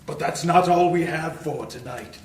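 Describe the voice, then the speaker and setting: A man playing a smug, villainous master of ceremonies for a cult in a noir audio drama, theatrical and deliberate. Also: on the high side, lightly acted. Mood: smug, menacing. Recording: echoey, distant mic, clear background noise.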